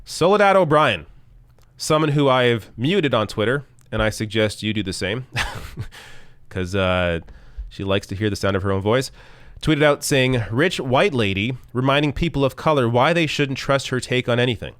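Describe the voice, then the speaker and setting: A man hosting a live political commentary stream, arguing bluntly and reading tweets aloud, with a voice that is going hoarse. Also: mid-range voice, animated, moderate pace, a bit bright, no echo. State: losing his voice.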